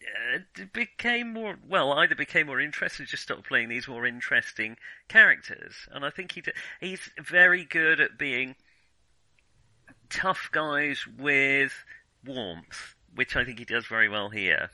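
A man in a casual film discussion, thinking aloud haltingly with many false starts. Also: mid-range voice, animated, pushed and bright, no room sound, rough recording. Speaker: film podcast host.

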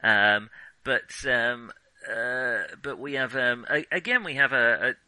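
A man co-hosting a casual film discussion, with a hesitant, halting way of talking that often restarts phrases. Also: mid-range voice, bright, forceful tone, no echo. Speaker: film podcast co-host.

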